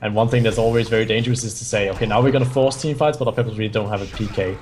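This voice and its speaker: A man's voice: on the low side, fairly even intonation, racing, darkish tone, a little hiss or hum. A man, not a native English speaker, giving strategy analysis as color commentator, often restarting his phrases.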